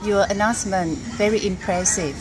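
Middle-aged woman with halting English. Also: mid-range voice. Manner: hesitant non-native English with fillers. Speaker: airline crew member. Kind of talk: casual interview. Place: airport terminal indoors. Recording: background noise in terminal.

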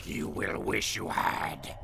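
An actor voicing a menacing, predatory reptilian warrior with a harsh, gravelly voice in a fighting game. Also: male voice, sits low, slow pace, noisy background, exaggerated voice.

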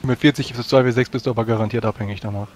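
Fast-talking male tabletop player. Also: medium pitch, steady tone, a little mellow, a little hiss or hum. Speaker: tabletop role-playing player. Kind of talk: casual game chat.

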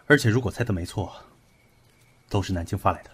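Actor playing a low-voiced, brusque young investigator. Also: male voice, natural voice. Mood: grave, analytical. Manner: deliberate, methodical, commanding. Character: brusque, analytical young man investigating a cursed book. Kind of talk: Chinese audio drama acting.

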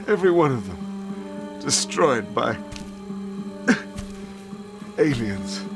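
A grave, wounded agent in a video game scene. His voice is mid-pitched and slow, weighed down and grim. Music sits behind it. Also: big pitch swings, exaggerated voice. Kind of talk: video game cutscene acting.

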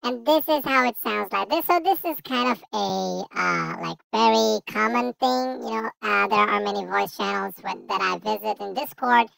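A man voicing a baby through a pitch-raising voice-changer effect. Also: sing-song, moderate pace, gravelly, few pauses, bright, forceful tone, dry recording, full character voice, rough recording. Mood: amused demo. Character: baby, small child with high voice.

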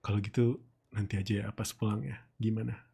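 Man playing a breathy, mellow, flirtatious boss. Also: lowish voice, little pitch movement, heavily acted. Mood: coaxing, flirtatious.

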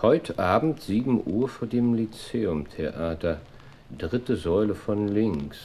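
A cool, unsentimental gentleman detective in a radio drama. His voice is fairly low and he takes his time, with dry, clipped deliberation and faint ambient noise behind him. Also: expressive, slow pace. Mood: terse, focused.

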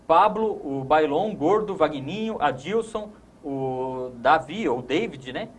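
A man's voice: on the high side, expressive, takes it slowly, slightly rough. A man in his thirties with a Brazilian accent, hosting a conversational sports talk show in a studio. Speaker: host.

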